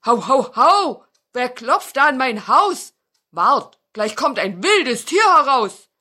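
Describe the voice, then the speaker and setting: A woman voicing a big old male fox in a children's tale, loud and barking, pitched high. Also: big pitch swings, takes it slowly, full character voice. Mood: gruff. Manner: barking, booming.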